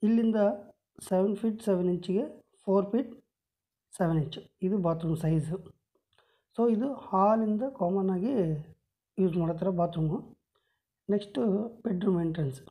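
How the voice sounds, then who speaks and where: A woman's voice: mid-range voice, moderate pace, pauses often, mellow, low-quality recording. A woman explaining a house floor plan step by step, pointing with a pen at paper drawings on a table, recorded on a phone held over the page. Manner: plain step-by-step explanation, many measurements.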